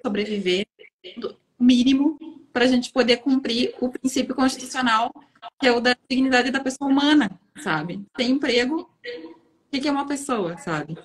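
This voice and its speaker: A woman's voice: a little high, rough recording. Earnest young-sounding woman lawyer. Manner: earnest, tags questions with "sabe". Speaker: lawyer guest. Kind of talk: casual live-stream talk.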